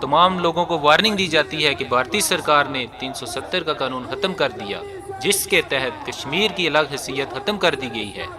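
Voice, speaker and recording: A man plays a stern official in a radio play. His fairly high voice barks out orders with authority, against a clearly audible crowd. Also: lightly acted. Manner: barking, authoritative. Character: stern male official announcing a lockdown. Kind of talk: radio drama public announcement. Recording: crowd clamour in outdoor street scene.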